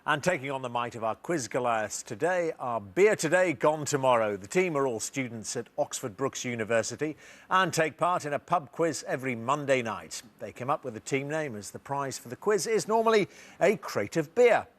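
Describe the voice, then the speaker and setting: A man hosting a television quiz show, with a brisk, lightly teasing manner as he reads questions and chats with contestants. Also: mid-range voice, lively intonation, a bit bright.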